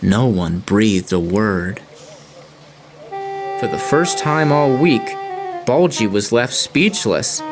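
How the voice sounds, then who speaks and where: A man reading scripted storybook narration in a measured, dramatic way. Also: lowish voice, lively intonation. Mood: suspenseful. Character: storybook narrator of talking engine tales. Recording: music playing behind.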